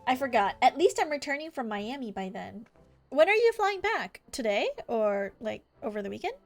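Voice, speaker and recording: An American woman streaming a game, chatting casually with an animated, gossipy manner. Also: fairly high pitch, normal speed. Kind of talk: live stream chat while reading game dialogue aloud. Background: music.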